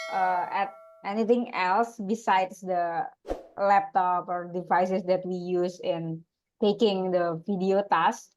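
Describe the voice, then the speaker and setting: A woman's voice: mid-range voice, darkish tone. A woman in casual conversation, asking her coach questions as a learner and hesitantly searching for words.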